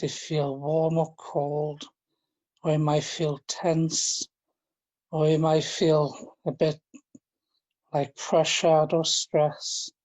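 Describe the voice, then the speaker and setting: A man leading a guided relaxation, speaking in a gentle, informal and halting way, often repeating his phrases. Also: fairly high pitch. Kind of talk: guided relaxation, meditation instruction.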